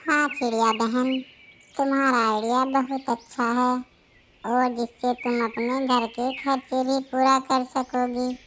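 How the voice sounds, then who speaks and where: A woman's voice: high voice, little pitch movement, measured pace, a bit bright, a little hiss or hum. A woman voicing a caring female pigeon in a children's cartoon, sympathetic and encouraging. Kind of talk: Hindi children's cartoon voice acting.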